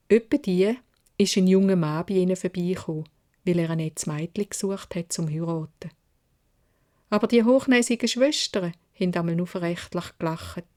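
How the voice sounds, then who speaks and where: A woman's voice: on the low side, dark tone. A woman with a Swiss German accent narrating a children's fairy tale expressively in dialect, as a storyteller.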